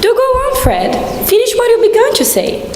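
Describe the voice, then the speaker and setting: A woman's voice: very high-pitched, wildly swooping pitch, normal speed, a little mellow, heavily acted. A woman playing an impatient but playful Victorian wife in a staged radio play. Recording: echoey hall, faint noise.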